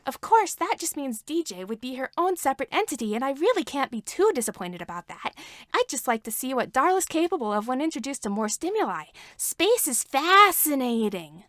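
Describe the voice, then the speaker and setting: Woman playing an eager, high-pitched nerdy inventor woman. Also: fairly quick, few pauses, dry recording, clean studio sound. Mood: excited, nerdy. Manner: animated, informal, enthusiastic talk.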